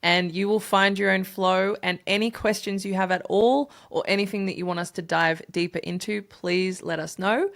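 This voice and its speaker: A woman's voice: mid-range voice, moderate pace, vocal fry, few pauses, a bit bright. A woman co-hosting a casual podcast, explaining tips in an easy, chatty way and laughing often. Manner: giggly, laughs often between lines. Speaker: podcast co-host.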